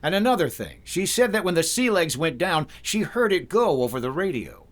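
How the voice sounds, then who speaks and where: A man's voice: fairly high pitch, big pitch swings, moderate pace, a bit bright. A man acting a shrewd, wry insurance investigator in a 1950s-style detective radio drama, speaking and narrating with dry confidence. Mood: confident, probing.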